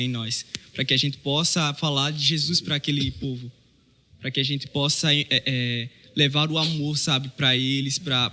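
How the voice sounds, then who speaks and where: Man, Brazilian Portuguese accent, higher voice, bright and forceful, earnest, halting with fillers. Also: a little hiss or hum. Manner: earnest, hesitant with many fillers and restarts. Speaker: mission trainee. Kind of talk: casual podcast chat.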